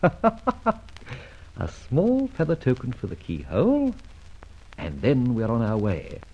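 A man playing a suave, wry and commanding gentleman adventurer in a vintage radio adventure serial. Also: mid-range voice, wildly swooping pitch, takes it slowly, full character voice. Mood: sly, composed. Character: suave, self-assured gentleman adventurer and amateur sleuth. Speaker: narrator and lead actor. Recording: dull old radio recording, music behind.

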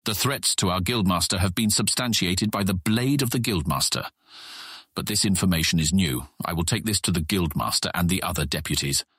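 An actor playing an older, authoritative guild deputy in a fantasy audiobook, formal and condescending, used to being obeyed. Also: male voice, low-pitched, fairly quick, fairly bright tone, husky, no room sound, studio quality. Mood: guarded, commanding. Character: commanding, stern, suspicious older male guild deputy. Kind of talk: full-cast fantasy audiobook acting.

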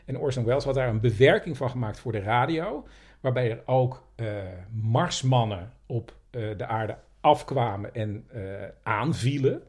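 A man with a husky voice chatting casually as a podcast host, hesitantly searching for his words. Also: medium pitch, moderate pace, a little mellow. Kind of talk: casual storytelling chat.

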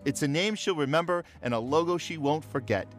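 An actor playing an earnest man proudly pitching his new wine in an audiobook drama. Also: fairly quick, natural voice. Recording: music bed behind the voice.

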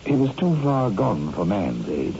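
Earnest junior surgeon, lowish mellow voice, formal and emphatic, vintage radio drama, muffled noisy recording. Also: male voice, big pitch swings, a little slow, gravelly, full character voice. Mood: respectful, solemn. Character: earnest, loyal male assistant surgeon. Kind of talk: 1960s radio horror drama acting.

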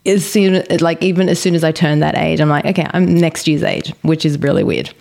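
A woman in her early forties co-hosting a podcast, chatting in a thoughtful way with frequent pauses and fillers. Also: deep voice, gravelly, darkish tone. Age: 40s. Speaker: podcast co-host. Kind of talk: casual chat.

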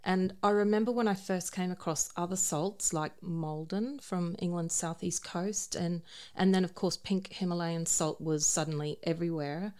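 Lower-pitched middle-aged woman podcast host. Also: steady tone, moderate pace, a little mellow. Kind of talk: casual conversational hosting.

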